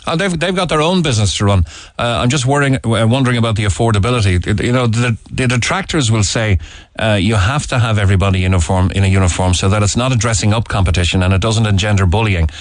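A man's voice: lowish voice, fast, no echo. A man with an Irish accent hosting a radio phone-in show, asking probing, leading questions and reading out listener texts. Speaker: radio talk show host. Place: radio studio.

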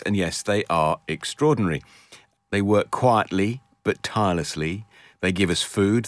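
A middle-aged man presenting a casual book recommendation in measured, emphatic short phrases. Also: low-pitched, dry recording. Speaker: radio presenter.

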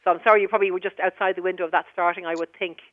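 Brisk Irish woman on a phone line. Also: fairly low pitch, steady tone, dry recording. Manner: brisk and reassuring, official tone. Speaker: hospital director of midwifery. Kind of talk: phone-in radio interview.

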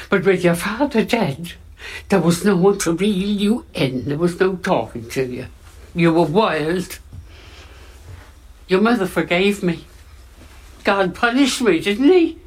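A grave older Irish mother, speaking slowly and heavily. Guilt and sternness hang on every word. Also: lowish voice, animated, breathy edge, heavily acted. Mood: stern, regretful. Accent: Irish (put on by actor).

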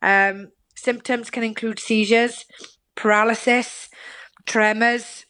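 A woman's voice: on the high side, flat delivery, slow pace, pushed and bright, rough recording. A woman from Lincolnshire with a Welsh accent, a guest on a radio show, talking casually with plenty of ums. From Lincolnshire, England. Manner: chatty, many ums, laughs easily. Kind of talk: casual phone-in chat.

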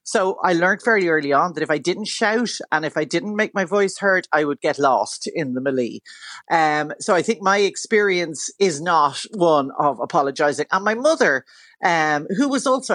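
Loud chatty dublin irish woman, middle aged, radio guest, pretty dry sound. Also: low voice, no room sound. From Ireland. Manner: forthright, chatty, laughs easily. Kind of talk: casual radio chat.

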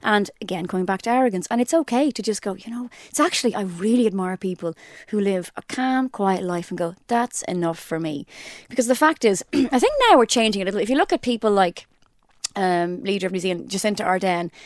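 Chatty woman, throat clearing. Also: medium pitch, lively intonation, fairly quick, no room sound, clean studio sound. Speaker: podcast co-host. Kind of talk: casual chat, opinion.

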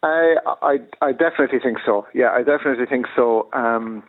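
A man calling a radio show, hesitant and halting with many restarts. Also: mid-range voice. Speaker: caller. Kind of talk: radio call-in. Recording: telephone line.

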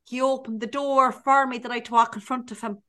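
A young Irish woman from Cork, talking in a high, quick voice. She tells her stories with gusto and acts out every line of dialogue. Casual podcast chat between friends. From Ireland. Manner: animated storyteller, acts out dialogue. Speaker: podcast co-host.

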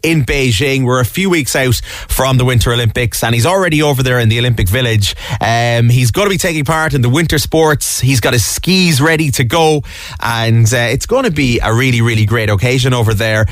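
Interviewer, friendly, long-winded questions, dry sound. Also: male voice, medium pitch, fairly quick, no room sound. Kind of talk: radio interview.

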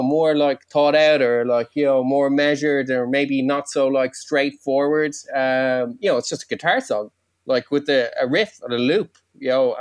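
Rambling musician, quick bursts. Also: male voice, mid-range voice, dry recording. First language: Irish. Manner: fast, rambling, many likes and you-knows. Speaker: musician guest. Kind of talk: casual interview answers.

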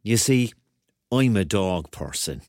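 An actor playing a rambling, excitable thirty-year-old man with a Cork accent, telling his story colloquially in a radio drama. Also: on the low side, slow pace. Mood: confiding, restless. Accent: Cork Irish. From Cork, Ireland. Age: 30s. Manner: colloquial, digressive, conversational. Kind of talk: first-person radio drama narration and dialogue.

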